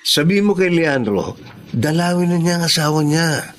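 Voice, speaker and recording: A forthright, concerned Filipino man, played by an actor in a radio drama. His fairly high voice is direct and conversational, with faint ambient noise behind him. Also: animated, normal speed, close to an everyday voice. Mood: serious. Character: concerned, forthright male acquaintance bearing news.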